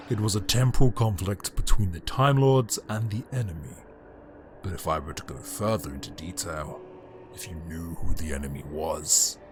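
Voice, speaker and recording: Man acting a low-voiced, pompous tyrant emperor over music. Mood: condescending. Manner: pompous, theatrical, deliberate pauses and condescension. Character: grandiose, cold tyrant Emperor, formerly a heroic Time Lord. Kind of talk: dramatic scripted monologue in an audio drama.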